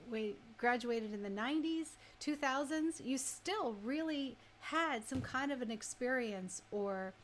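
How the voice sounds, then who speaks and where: A woman's voice: on the high side, slight background noise. A woman hosting a radio music show, chatting casually and conversationally with listeners, often tossing in questions and checking that they agree. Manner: conversational, rhetorical questions and frequent tag questions. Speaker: radio DJ and host.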